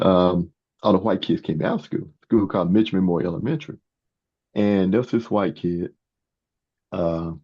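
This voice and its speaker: A dull, lo-fi recording of an older man with a low, mellow voice. He talks conversationally as an interview guest, stopping and restarting and often doubling up his words. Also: husky. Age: 60s or older. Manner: halting, repeats words. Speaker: guest author. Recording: dull, muffled lo-fi sound.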